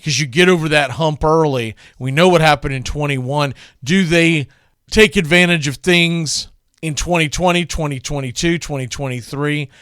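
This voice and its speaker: A man with a clean, smooth, slightly higher-set voice talks baseball in a conversational way, as a radio host introducing classic calls. The sound is close and dry. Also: moderate pace, a bit bright, dry recording. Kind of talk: casual baseball commentary introducing archive calls.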